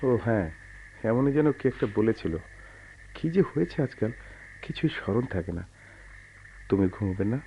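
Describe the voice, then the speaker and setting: An actor playing a brooding, bitter, lovelorn man in a Bengali radio drama, speaking in a measured, melancholy, literary way. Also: lowish voice, animated, vocal fry. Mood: pensive. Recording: dull lo-fi radio recording with noise.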